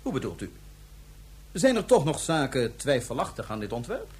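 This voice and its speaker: A man's voice: mid-range voice, wildly swooping pitch, normal speed, darkish tone, husky, full character voice. An actor playing a cold, sarcastic, calculating man of power in a science fiction radio drama, lecturing formally and with condescension. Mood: cool condescension.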